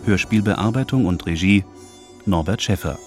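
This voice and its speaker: A man's voice: low-pitched, moderate pace. A man reading out scripted titles and credits as a radio play announcer. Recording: loud music behind the voice. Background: loud music.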